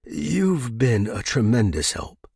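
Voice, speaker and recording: Man playing a husky, deferential manservant. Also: mid-range voice, sing-song, slow pace, darkish tone, dry recording, studio quality, full character voice. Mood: courteous, deferential. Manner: formal, courteous, reserved. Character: discreet, deferential male household servant. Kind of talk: audiobook character reading.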